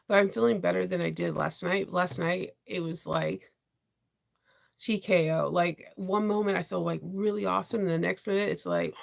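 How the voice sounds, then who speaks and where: A woman's voice: lowish voice, vocal fry. A woman hosting a casual sewing chat with her viewers, congested and rambling, often laughing. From Washington DC area. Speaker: sewing channel host.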